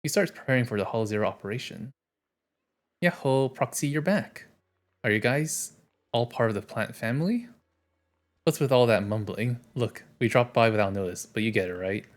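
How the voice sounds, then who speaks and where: A man streaming a game, reading its dialogue aloud and adding casual commentary. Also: medium pitch.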